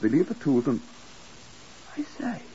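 An actor playing an anxious, dithering English hotel keeper in a 1950s radio drama, stammering and fussy. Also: male voice, on the high side, sing-song, a little slow, mellow. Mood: fussy, chatty. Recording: muffled, hissy 1950s radio recording.

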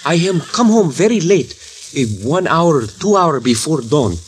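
An actor playing a Mexican man with a Spanish accent and broken English, quietly telling what he saw one night, in a 1950s radio drama. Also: fairly high pitch, animated, measured pace. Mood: hushed. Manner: broken English, hushed storytelling. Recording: old radio broadcast with faint hiss.

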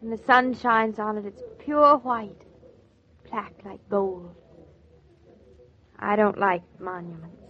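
Actress playing young wife, earnest, sensitive, mid-pitched, 1940s radio drama, muffled old transcription. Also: female voice, fairly even intonation, slow pace, long pauses, a little hiss or hum, lightly acted. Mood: wistful.

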